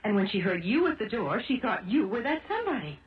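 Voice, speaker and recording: Dogged, animated woman amateur sleuth played by an actress. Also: medium pitch, fast, lightly acted. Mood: pressing, urgent. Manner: pressing, emphatic, theatrical. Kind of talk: 1970s radio drama acting. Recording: muffled, thin old radio broadcast.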